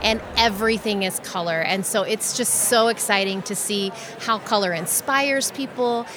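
A woman's voice: medium pitch, moderate pace, noisy background. Enthusiastic woman organizer, bubbly. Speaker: event organizer. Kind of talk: interview answers and stage presentation.

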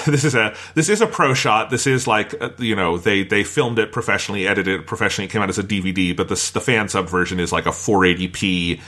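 Bright, forceful man explaining things casually. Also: lowish voice, fast talker, hardly any pauses. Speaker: podcast host. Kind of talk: casual podcast discussion.

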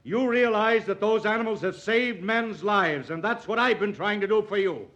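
A proud, earnest young doctor in a 1940s-style radio play. His voice sits a little high, articulate and theatrically impassioned. The sound is that of a dull old broadcast. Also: normal speed, a bit bright. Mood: impassioned pleading.

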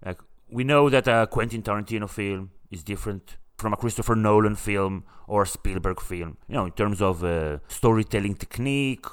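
A man's voice: lowish voice, normal speed, few pauses, clean tone. A man from Israel, a native Hebrew speaker, hosting a casual podcast conversation with an enthusiastic, emphatic manner. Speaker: podcast host.

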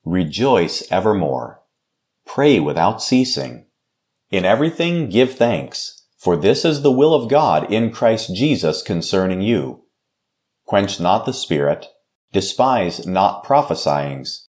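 An American man speaking as a pastor in a measured, solemn and formal devotional style. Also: fairly low pitch, sing-song, a little mellow. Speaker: pastor and podcast host. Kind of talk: devotional scripture reading, short sermon and prayer.

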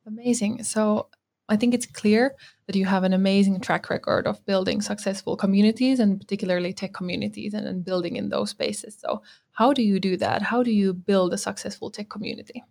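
A woman co-hosts a podcast with a lot of gravelly fry in her voice. She reads the episode intro and poses her questions in a measured, even way. Her pitch is ordinary for a woman. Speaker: podcast co-host. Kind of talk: podcast hosting, partly scripted.

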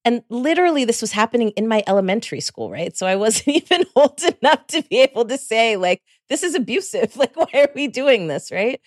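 A woman's voice: a little high, very animated, brisk, few pauses, no echo, studio quality. A woman from the Bay Area hosting an interview, reflective and chatty, often tagging thoughts with "right?" and laughing lightly. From San Francisco Bay Area. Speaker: host. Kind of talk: conversational interview.

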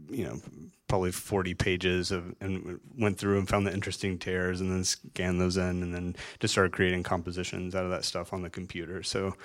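Deep-voiced designer guy, rambly, lots of likes and sort ofs. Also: a bit bright. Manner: rambling, many fillers and restarts. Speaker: artist and designer guest. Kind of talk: live on-stage conversation.

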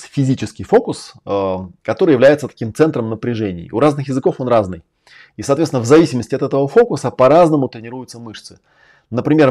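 A man's voice: medium pitch, warm tone. A man hosting a live stream, lecturing in a casual, emphatic way, categorical and often swearing. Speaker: host and language-learning coach.

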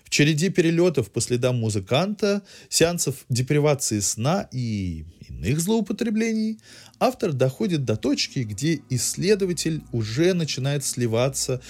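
Man with lively, swooping intonation, reviewing culture. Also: fairly high pitch, moderate pace. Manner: erudite, literary phrasing. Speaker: podcast host reviewing culture. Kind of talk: semi-scripted cultural review narration.

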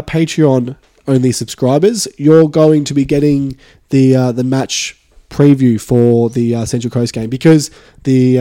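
A man's voice: mid-range voice, warm tone, no room sound. A man hosting a casual podcast from a share-house studio, a bit sick and nasal, rambling with lots of fillers. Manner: rambling, many filler words. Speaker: podcast host.

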